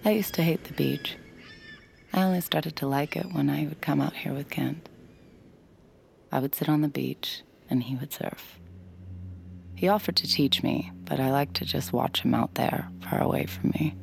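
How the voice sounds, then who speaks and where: A young adult mind-reading heroine, played by a woman in an audio drama, narrating her thoughts quietly and reflectively. Her voice is very low and gravelly, and at times she drops to a whisper. Faint noise sits behind her. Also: normal speed. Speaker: mind-reading lead character.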